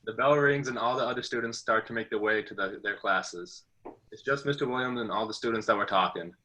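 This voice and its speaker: Bright, lowish-voiced male narrator. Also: a little fast, low-quality recording. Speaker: table read narrator. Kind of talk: reading stage directions. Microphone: video call.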